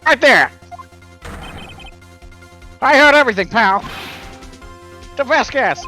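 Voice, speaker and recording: A woman voicing a loud, blustering male police detective in a game dub, shouting forcefully. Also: high voice, expressive, slow, soft background music, exaggerated voice. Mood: triumphant, shouting. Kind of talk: fan live dub of a visual novel game.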